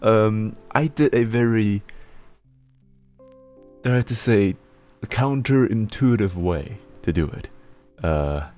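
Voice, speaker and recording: Deep-voiced man chatting on stream. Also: lively intonation, slow, darkish tone, soft background music. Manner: rambling, self-deprecating, laughs often, reads chat aloud. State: slightly slurred speech from a healing tongue injury. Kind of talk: casual chat stream.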